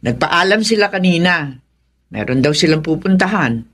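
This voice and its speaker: A woman's voice: fairly low pitch, animated, normal speed, a bit bright. A woman playing a conciliatory peacemaker of the household in a Filipino radio drama. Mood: placating.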